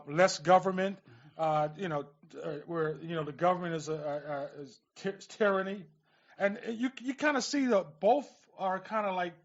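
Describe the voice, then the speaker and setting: A man hosting casual political talk radio, repeating words haltingly as he forms his thoughts. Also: a little high, lo-fi sound. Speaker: radio show host.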